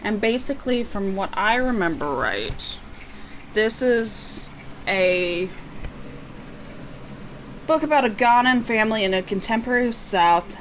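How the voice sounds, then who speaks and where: A woman with a slightly hoarse, tiring voice, chatting casually and a bit self-deprecatingly as she presents an unboxing in a rambling way. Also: medium pitch, lively intonation, unhurried, long pauses, slight background noise. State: losing her voice, slightly hoarse. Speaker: book vlogger.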